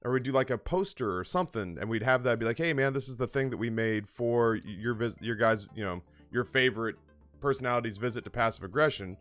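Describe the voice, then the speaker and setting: A man in his thirties with a mid-pitched voice, telling personal stories like a streamer chatting to viewers. His delivery is casual, animated and comedic, with swearing and jokes at his own expense. Music plays faintly behind him. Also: quick pace. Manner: animated, profane, self-deprecating. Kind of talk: casual comedic storytelling on a livestream. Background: background music.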